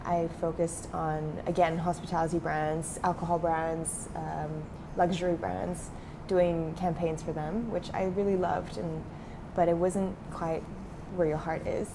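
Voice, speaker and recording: A woman's voice: fairly low pitch, flat delivery, a little slow, background noise. A young adult woman who grew up in Switzerland, talking casually as a startup founder in an interview, with many fillers.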